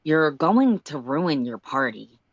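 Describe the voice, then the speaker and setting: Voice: medium pitch, sing-song, slow pace, no echo, lo-fi sound, heavily acted. A storyteller voicing a blunt, bossy male alien spaceship crewmate, curt and sardonic in manner. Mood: dry, dismissive. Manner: curt, sardonic, matter-of-fact.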